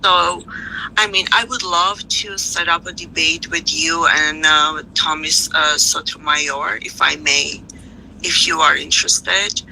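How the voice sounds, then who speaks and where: An Armenian-accented woman calls into a live stream. She speaks slowly and haltingly, with plenty of "um" and "you know." Her voice is low-set with gravelly fry and a very bright edge. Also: fairly even intonation, a little hiss or hum, rough recording. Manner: hesitant, many fillers and restarts. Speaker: caller.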